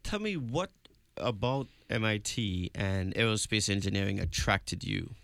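A man's voice: lowish voice, lively intonation, unhurried, gravelly, a bit bright, dry recording. A man hosting an interview show, reading a polished introduction and asking composed questions.

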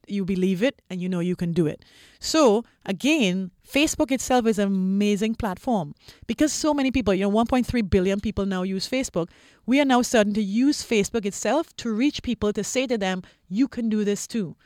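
Female interview guest from Trinidad, mid-pitched, articulate and emphatic executive explainer, recorded dry. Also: fairly quick, a little mellow, no room sound.

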